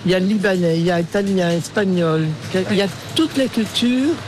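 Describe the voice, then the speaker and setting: A woman's voice: mid-range voice, lively intonation, a little fast, noisy background. An older Belgian woman, a native Dutch speaker with a Flemish accent, answering casually and searching for words. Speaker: interviewee, local resident. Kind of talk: casual street interview.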